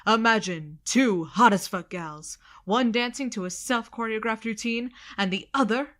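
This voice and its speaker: Actress as young american gal, cheeky best friend type, playful banter, bit full of herself, audio drama. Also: female voice, a little high, moderate pace, fairly bright tone, lightly acted. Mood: playful teasing. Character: cocky, teasing young woman, loyal joker friend. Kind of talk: naturalistic audio drama acting.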